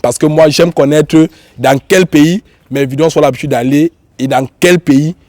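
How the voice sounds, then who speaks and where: Cameroonian guy hosting his youtube show, bit high voice, has a cold, dry close sound. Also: moderate pace, darkish tone, no echo. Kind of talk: informal presenting and questioning to viewers and guests.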